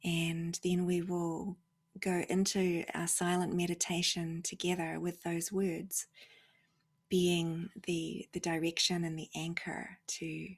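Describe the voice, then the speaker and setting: A woman gently guides a meditation in a breathy, slightly low voice. She speaks very slowly, leaving long pauses and often sinking into a soft near-whisper. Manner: unhurried, with long pauses between phrases.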